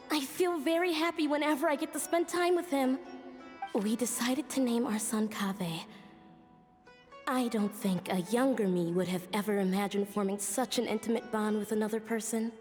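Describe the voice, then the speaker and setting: A woman's voice: a little high, natural voice. A woman voicing a tender mother confiding her diary in a video game monologue. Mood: fond, wistful. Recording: long reverb over soft music.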